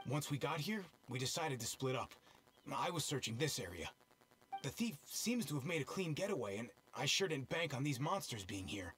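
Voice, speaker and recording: Brief: man voicing a tired, earnest guard in a game, mid voice with lively pitch. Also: lightly acted. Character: weary male security officer guarding a festival. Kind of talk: game cutscene dub.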